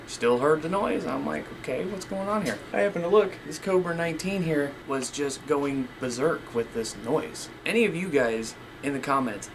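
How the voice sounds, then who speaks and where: A man's voice: on the high side, expressive, moderate pace, few pauses. Guy vlogging over background noise. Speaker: CB radio hobbyist vlogger. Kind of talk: casual vlog talk to viewers.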